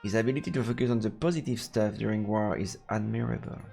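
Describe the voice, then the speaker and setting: A man streaming a let's play, reading visual novel narration in a halting way with casual asides. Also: on the low side, vocal fry, warm tone, soft background music.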